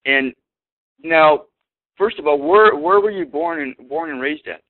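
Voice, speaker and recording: A man's voice: on the high side, huge pitch swings, measured pace, gravelly. Man hosting radio over a tinny line. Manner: stumbling, repeats words. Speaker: radio show host. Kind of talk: phone-in radio interview. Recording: tinny phone-quality line.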